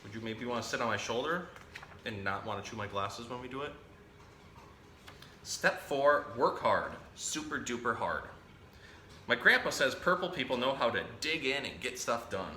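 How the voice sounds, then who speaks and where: A man hosting a children's storytime, reading a picture book aloud in a playful, expressive way and talking directly to young listeners. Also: medium pitch, moderate pace. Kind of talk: animated read-aloud of a children's picture book with chatty asides to a pet parrot. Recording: roomy echo, faint background noise.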